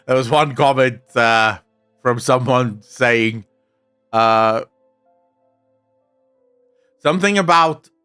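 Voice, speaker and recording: South african guy streaming, soft accent, afrikaans first language, chatty and blunt, swears a bit, faint music behind. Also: mid-range voice, slow pace, pauses often, smooth. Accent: soft South African English. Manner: candid, swears casually, answers chat. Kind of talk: casual live-stream chat, reading comments.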